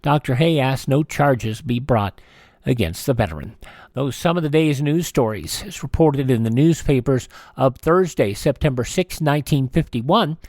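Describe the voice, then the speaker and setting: A man's voice: mid-range voice, expressive, normal speed, darkish tone, no room sound. An American man reading scripted news stories as a radio show host.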